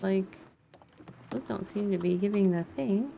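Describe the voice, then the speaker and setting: A woman's voice: mid-range voice, unhurried, creaky, dark tone, a little hiss or hum. A woman doing casual live gameplay commentary, muttering wry asides as she plays. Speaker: game streamer. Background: game music.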